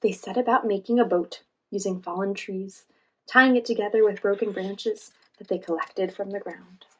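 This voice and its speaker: A woman reading a children's storybook aloud as host, pausing in a teacherly way to guide young listeners. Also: mid-range voice, fairly bright tone, slightly rough, poor audio. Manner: teacherly, asks listeners questions and guides them.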